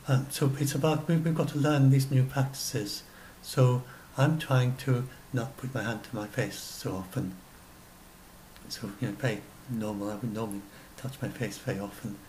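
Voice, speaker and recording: A man's voice: mid-range voice, steady tone, normal speed, darkish tone, slight background noise, rough recording. Rambling older man summarising at home. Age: 60s. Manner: rambling, self-correcting. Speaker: science blogger. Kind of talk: casual solo commentary. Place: small room at home. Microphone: webcam at desk.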